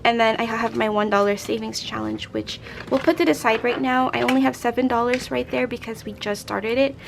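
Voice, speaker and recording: A young woman with a raspy, hoarse voice, chatting casually as a budgeting vlogger and counting out cash into envelopes. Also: on the high side, animated, a little fast, noisy background. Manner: chatty, rambling, counts money aloud. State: hoarse from a late night. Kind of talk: casual chat while stuffing cash envelopes.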